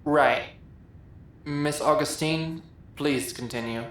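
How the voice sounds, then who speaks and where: A woman's voice: sits low, takes it slowly, heavy reverb. A woman voicing a stern, formal official making curt announcements in an audiobook drama. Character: stern, formal official over theater speakers. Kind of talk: audiobook character acting.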